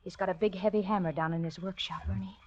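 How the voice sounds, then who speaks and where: An American actress playing a restless, manipulative unhappy wife, insistent and melodramatic, in an old-time radio suspense drama. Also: female voice, fairly low pitch, fairly even intonation, fast talker, close to an everyday voice. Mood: coaxing, goading. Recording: dull, noisy vintage radio recording.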